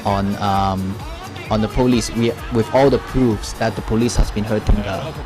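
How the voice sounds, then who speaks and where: A man's voice: fairly low pitch, steady tone, moderate pace, music in the background. A man from Hong Kong giving unscripted, explanatory interview answers, searching for words but speaking with emphasis. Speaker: interview guest, protest supporter.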